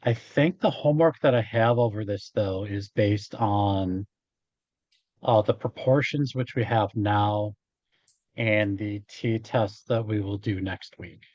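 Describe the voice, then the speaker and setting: A man giving an informal statistics lecture as a college instructor, his voice a bit hoarse from talking, explaining in a halting way. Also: lowish voice, a little mellow, dry recording, lo-fi sound. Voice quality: slightly hoarse. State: hoarse after hours of talking. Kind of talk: informal statistics lecture, working through examples.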